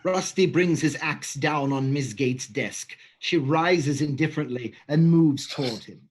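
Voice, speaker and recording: A man reading stage directions in a plain, matter-of-fact voice that sits slightly high for a man. He keeps it even and gives the action without drama. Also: measured pace, husky.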